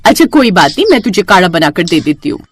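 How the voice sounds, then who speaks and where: A woman acts out a helpful, motherly housewife in a storybook tale. She advises briskly, with animated rises and falls in pitch. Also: no echo. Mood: helpful, reassuring. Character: helpful, motherly housewife giving home remedies.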